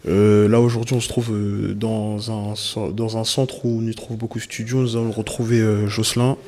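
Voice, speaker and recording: A man introducing a radio report, with many pauses. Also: fairly low pitch, flat delivery, dark tone. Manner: hesitant, many fillers. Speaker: student reporter.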